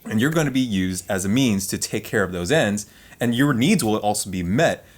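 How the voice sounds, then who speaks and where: A man with a lowish voice whose first language was Vietnamese co-hosts a casual reaction podcast. He talks in an insistent, lecturing way, pressing his advice on the listener. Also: animated, normal speed. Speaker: podcast co-host.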